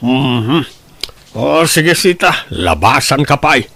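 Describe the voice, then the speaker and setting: A man's voice: medium pitch, very animated, takes it slowly, gravelly, exaggerated voice. A man playing a plain, familiar village man in an audio drama, with an Ilocano Filipino accent. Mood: reproachful. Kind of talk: melodramatic radio-style drama acting.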